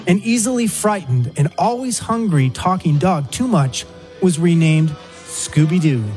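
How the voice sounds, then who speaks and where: Male narrator, scripted documentary read, lighter high register, faint music bed. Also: animated, a little slow, darkish tone.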